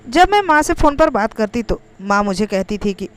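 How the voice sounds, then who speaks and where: A woman's voice: a little high, very animated, moderate pace, clean tone. A woman reading a scripted, first-person emotional story as its narrator.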